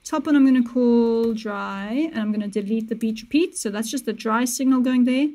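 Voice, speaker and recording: A young woman hosting a casual music-production tutorial, talking through each step in a relaxed way with small self-corrections, recorded on a studio mic in a small home studio. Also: a little high, moderate pace. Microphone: studio mic on boom with headphones.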